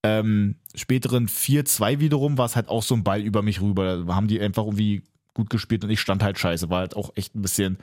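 A man's voice: on the low side, steady tone, brisk, hardly any pauses, a little mellow, dry recording. Young male co-host, casual and rambling, dry and close. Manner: rambling, many fillers and "halt". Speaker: podcast co-host. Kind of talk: casual football podcast chat.

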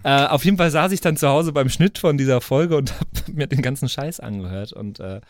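A man's voice: medium pitch, brisk, no echo, studio quality. A man hosting a podcast in casual chat, rambling, slangy and joking. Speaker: podcast host.

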